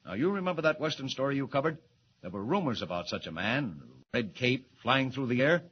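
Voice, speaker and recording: An actor playing a brisk, authoritative newspaper editor in a 1940s radio drama. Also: male voice, medium pitch, lively intonation, close to an everyday voice. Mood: probing, brisk. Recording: muffled noisy 1940s radio recording.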